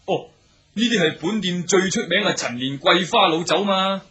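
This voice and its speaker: A talkative, obliging innkeeper in a Hong Kong Cantonese radio drama. His voice sits fairly high and has a very bright, forward edge. He is deferential and eager to serve, and the recording is slightly dull. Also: animated, moderate pace. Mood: eager salesman. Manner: deferential, chatty, with many hesitant interjections.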